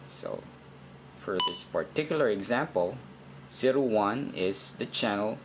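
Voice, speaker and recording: A man with a fairly low voice walks through instructions very slowly. He is deliberate and halting, circling back to repeat himself in an informal teaching style. Faint noise sits behind the recording. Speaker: instructor in a how-to video. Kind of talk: informal step-by-step tutorial.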